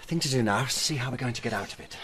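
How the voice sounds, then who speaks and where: An actor playing a smooth, self-serving British colonial lover in a radio drama, condescending and placating. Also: male voice, medium pitch, racing, noisy background, close to an everyday voice. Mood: brisk, calculating.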